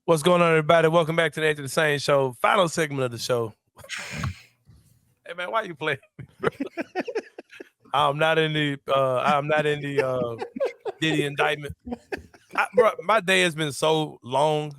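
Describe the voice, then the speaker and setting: A man's voice: fairly high pitch, normal speed, pauses often, fairly bright tone, smooth, no echo. Laid-back man in his forties, dry sound. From Memphis, USA. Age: 40s. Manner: laid-back, slangy, laughs often. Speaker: podcast host. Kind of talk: casual sports podcast chat.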